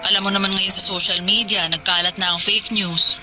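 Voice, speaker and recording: A working Filipino wife in a radio drama, casual and reassuring with a skeptical streak. Her voice is medium in pitch and conversational. Music is clearly audible behind her. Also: steady tone, a little fast, sharp tone. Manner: casual, reassuring, mildly dismissive. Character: calm, skeptical working wife on a phone call. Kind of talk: naturalistic radio drama dialogue.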